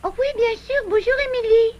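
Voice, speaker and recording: Little woodland girl: tiny high voice, gentle and frank, cartoon style, muffled VHS audio with music. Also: a little fast, slight background noise. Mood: polite, timid. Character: polite, brave little girl animal, gently reasoning. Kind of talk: dubbed cartoon acting.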